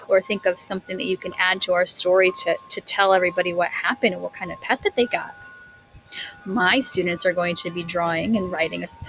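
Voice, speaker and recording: A woman's voice: fairly low pitch, a bit bright, low-quality recording. A woman teacher reading a picture book aloud to young children, expressively, stretching words and putting questions to her listeners.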